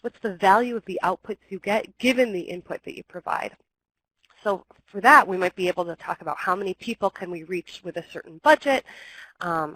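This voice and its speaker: A woman's voice: mid-range voice, fairly bright tone. A young woman with a slight cold, her voice a little scratchy, presenting a webinar talk from home over a computer mic, explaining steadily with ums and restarts.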